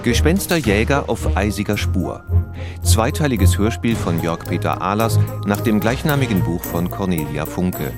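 A man's voice: on the low side, lively intonation, normal speed. A man reading credits as a formal, scripted radio announcement. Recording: music behind the announcement.

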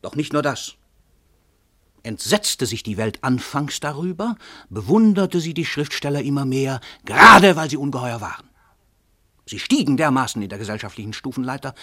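An actor playing a world-famous crime writer, an arrogant, cynical murderer who declaims in a domineering, emphatic way in a radio drama. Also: male voice, medium pitch, pushed and bright, dry recording, studio quality. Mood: grandiose. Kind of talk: theatrical German radio drama monologue.

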